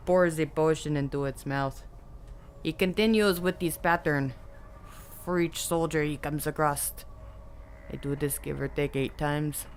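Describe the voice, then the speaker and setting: A woman playing a tabletop game, describing her character's actions in plain short statements. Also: deep voice. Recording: clear background noise and ambience.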